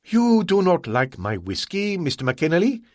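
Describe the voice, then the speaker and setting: Storybook role: an icy, scornful merchant, deliberate and precise, voiced by the male narrator. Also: high-pitched, wildly swooping pitch, darkish tone, no echo, clean studio sound, pitched above the performer's own voice. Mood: cold. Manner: slow, clipped, coldly formal. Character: cold, contemptuous older male merchant, slow and formal.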